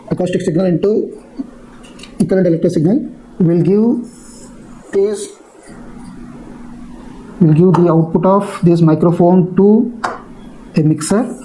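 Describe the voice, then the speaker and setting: A male teacher speaks in a high, mellow voice. He explains step by step in a halting way, often repeating his phrases. A little background noise sits behind him. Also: poor audio. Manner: halting, repetitive delivery. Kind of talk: explanatory technical lecture.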